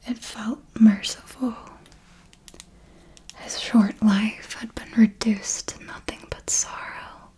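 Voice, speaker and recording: A woman's voice: a little high, steady tone, slow pace, mellow. A woman voicing an ancient, serenely ruthless and darkly tender female vampire mentor in an ASMR roleplay, speaking formally and coaxingly in a whisper. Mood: cold, remorseless.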